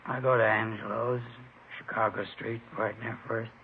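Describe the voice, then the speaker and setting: Surly, gravelly male crime suspect. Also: on the low side, flat delivery, moderate pace. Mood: sullen. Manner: curt, defensive, exasperated. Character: surly male murder suspect, defensive, irritable. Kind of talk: 1950s radio drama acting. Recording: dull old radio recording, faint noise.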